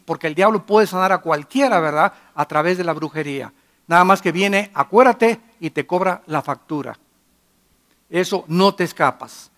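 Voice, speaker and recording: A middle-aged pastor preaching with emphatic force, his voice sitting unusually high for a man. He moves between loud declarations and hushed asides as he addresses a church congregation. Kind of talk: sermon.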